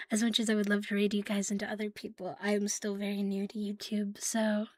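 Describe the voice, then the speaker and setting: A woman livestreaming ASMR in a playful, cutesy catgirl manner, soft and breathy, often whispering, close to the microphone. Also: mid-range voice, fairly even intonation, normal speed, no echo. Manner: playful, cutesy catgirl persona, with giggles and purring. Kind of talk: ASMR, intimate chat to live listeners.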